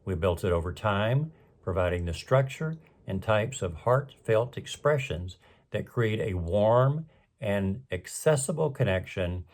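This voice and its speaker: Low-voiced man, measured scripted narration. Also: darkish tone. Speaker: presenter, fundraising consultant. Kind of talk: scripted narration, storytelling and advice.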